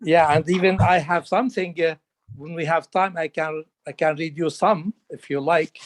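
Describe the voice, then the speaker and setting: A man's voice: fairly high pitch, steady tone, moderate pace, no echo. A man speaking hesitantly and from the heart in a webinar over a video call. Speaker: webinar participant. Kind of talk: spontaneous remarks.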